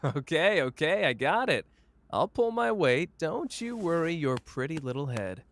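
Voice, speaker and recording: A man's voice: on the high side, big pitch swings, unhurried, a bit bright, heavily acted. A man playing a cocky, flamboyant young sorcerer who teases the listener in a playful, smug roleplay audio drama. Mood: smug, teasing. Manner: playful, smug, drawling teasing.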